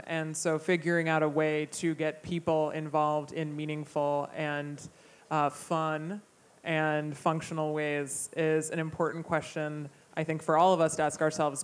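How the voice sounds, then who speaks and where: A woman's voice: low-pitched, level tone, normal speed. A woman in her thirties giving an explanatory answer as a panelist, speaking into a handheld microphone on an indoor stage. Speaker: panelist, campaign director.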